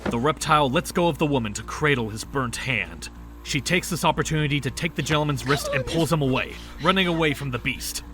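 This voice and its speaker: A man's voice: fairly high pitch, fairly quick, noisy background. A man narrating a scripted audio drama in storybook style. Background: background music.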